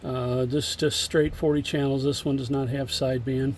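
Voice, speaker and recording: A man giving a casual, halting, hands-on demo of used equipment in a plain, unscripted way, recorded on a phone. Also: mid-range voice, level tone, slight background noise. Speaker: seller demonstrating a used CB radio.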